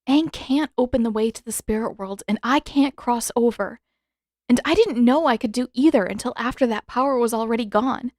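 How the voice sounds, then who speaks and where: A woman voicing an earnest teenage girl hero in a story reading, halting and emotional, as if holding back hurt. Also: on the high side, a little mellow, no echo, studio quality, voice pushed up, lightly acted. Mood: sad, explaining. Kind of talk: dramatic audiobook character reading.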